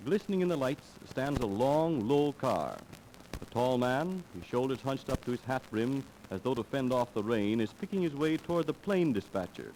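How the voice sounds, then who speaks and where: A man's voice: medium pitch, lively intonation, moderate pace, a little mellow, slight background noise, low-quality recording. A man announcing and narrating in dramatic, scripted 1930s radio style. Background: music.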